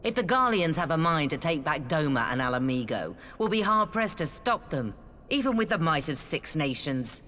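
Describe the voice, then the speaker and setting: An actress playing a shrewd, wary military leader in a video game cutscene. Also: female voice, low voice, sing-song, moderate pace, fairly bright tone. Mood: wary, calculating. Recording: long hall reverb, faint background noise.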